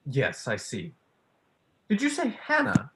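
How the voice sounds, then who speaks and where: Man playing a polite, hesitant gentleman visitor, animated pitch. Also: slow pace, gravelly, full character voice. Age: young adult. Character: male visitor, polite, cagey flamboyant academic type. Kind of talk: staged play reading.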